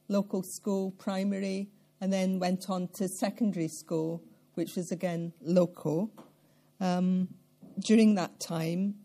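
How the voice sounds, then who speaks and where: An older woman from central Scotland with a Scottish accent, recalling her life in a measured, reflective way during an interview. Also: lowish voice. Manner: measured, reflective, with occasional soft laughs and throat clearing.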